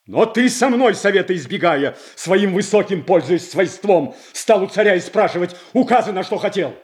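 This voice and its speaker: An actor playing a proud, indignant prince in a classical radio tragedy, declaiming with blunt, weighty deliberation. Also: male voice, sits high, expressive, normal speed. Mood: indignant, accusing. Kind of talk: classical Russian stage tragedy acting for radio.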